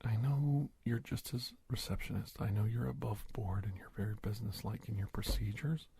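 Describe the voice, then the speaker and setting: A calm, reassuring government agent acted by a man in a hushed ASMR roleplay. His voice is lowish and mellow, with a heavy breathy rasp. He speaks gently, with hesitant pauses, sometimes sinking toward a whisper. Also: dry recording, full character voice. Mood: gently persuasive. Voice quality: breathy, husky, gravelly, often dropping to a whisper. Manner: hesitant, gentle, pausing often. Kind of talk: soft-spoken ASMR roleplay.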